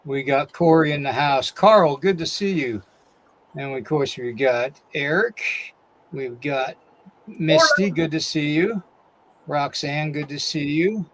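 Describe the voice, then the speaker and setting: A man's voice: a little high, animated, slow, fairly bright tone, low-quality recording. A man hosting a live-stream podcast, reading a disclaimer and greeting chat viewers by name, who says he is losing his voice. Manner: reads a long disclaimer, then greets chat viewers one by one. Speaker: podcast host.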